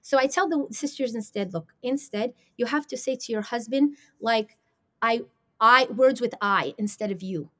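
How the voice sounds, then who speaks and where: A woman's voice: fairly high pitch, moderate pace. A woman marriage coach talking on a podcast, telling stories and acting out what spouses say. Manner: animated storytelling, acting out quoted spouses. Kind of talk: podcast conversation.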